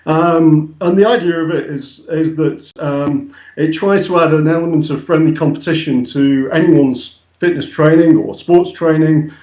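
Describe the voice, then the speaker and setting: A man's voice: a little high, steady tone, moderate pace, darkish tone, reverberant, rough recording. A young man with a Northern English accent, explaining his website in a casual, hesitant interview full of ums. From north of England. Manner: hesitant, many ums and restarts. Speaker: guest, website co-founder.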